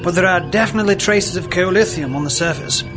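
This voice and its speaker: Guy playing a sober literal space science officer, pretty high voice, radio play w music under. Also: close to an everyday voice. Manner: measured, matter-of-fact. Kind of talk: comedy radio play acting. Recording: music behind the voice.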